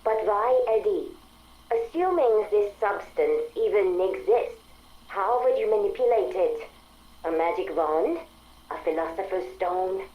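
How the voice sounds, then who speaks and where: A woman's voice: mid-range voice, sing-song, a little slow, narrow, tinny audio. An actress playing an articulate female artificial intelligence that longs for a body, speaking precisely and formally in video game audio logs. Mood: precise, curious. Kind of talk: dramatic video game audio log acting.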